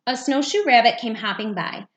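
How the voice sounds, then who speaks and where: A woman's voice: a little high, moderate pace. A woman, a teacher, reading a picture book aloud for young children. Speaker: second-grade teacher.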